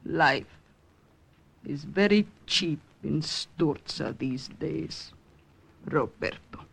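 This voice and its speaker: A woman's voice: low-pitched, animated, extremely slow, creaky, a bit bright, slight background noise, full character voice. A woman playing a jealous, threatening lover in an old-time radio drama. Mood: cold menace.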